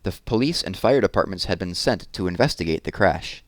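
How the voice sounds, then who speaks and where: A man with a low voice reads a true story aloud for children. He narrates it as the host, and the sound is dry with very little room. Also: lively intonation, fairly quick, no echo.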